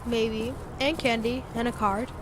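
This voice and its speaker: A female voice plays a shy twelve-year-old boy in an audio drama, smitten and dodging questions. The pitch is high, and outdoor noise is clearly heard around it. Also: fairly even intonation, unhurried, natural voice. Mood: coy, evasive. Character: bashful smitten schoolboy, about twelve, evasive. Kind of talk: family audio drama dialogue. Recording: noisy outdoor street ambience.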